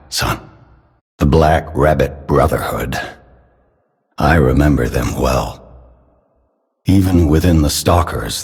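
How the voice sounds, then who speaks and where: An actor playing a grave, suspicious elderly father in a video game, husky and measured, speaking solemnly with paternal concern. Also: extremely low pitch, heavily acted. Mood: somber. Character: grave, suspicious elderly father, doting yet quietly manipulative. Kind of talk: scripted video game character dialogue. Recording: long cavernous reverb.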